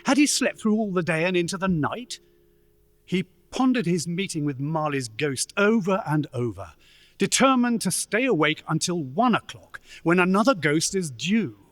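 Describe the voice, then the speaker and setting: A man narrating a radio play as a scripted storyteller, in a measured, dramatic manner. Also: high voice, big pitch swings, a bit bright.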